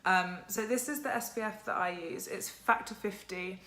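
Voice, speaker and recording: A young woman talks through her skincare products in a relaxed vlog chat. Her voice is a little croaky, and her sentences keep looping through "like" and "um". She sits at a medium pitch. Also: moderate pace, slight background noise. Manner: chatty, lots of "like" and "um". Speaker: skincare vlogger. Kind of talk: casual product chat to camera.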